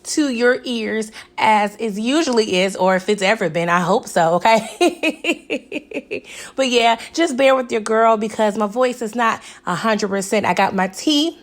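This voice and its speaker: A young woman with a raspy, hoarse voice, chatting casually through a TV episode recap and often asking "okay?". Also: fairly high pitch, a little slow. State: hoarse from recent illness. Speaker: TV recap vlogger.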